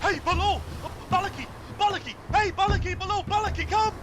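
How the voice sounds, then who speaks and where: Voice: extremely high pitch, brisk, noisy background. An actor playing a frightened sailor who calls out urgently in a radio drama. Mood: panicked, calling out. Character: frightened sailor crying out in alarm.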